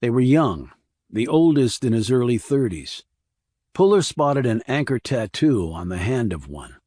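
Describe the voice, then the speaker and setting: A male narrator reads a crime thriller in a measured, serious manner. His pitch is medium, and the recording is very dry, with no audible room. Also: very animated, mellow, no echo. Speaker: audiobook narrator.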